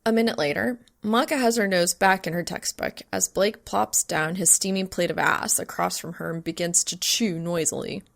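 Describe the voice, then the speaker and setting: A woman reading a scripted story aloud as narrator, with an expressive, dramatic delivery. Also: fairly low pitch, moderate pace, vocal fry, hardly any pauses. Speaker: fan fiction narrator.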